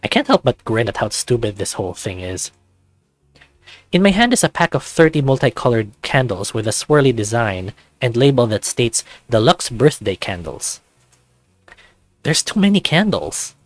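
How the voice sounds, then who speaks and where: A man reading a visual novel aloud as an animated narrator. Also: mid-range voice, moderate pace, dry recording, faint music behind.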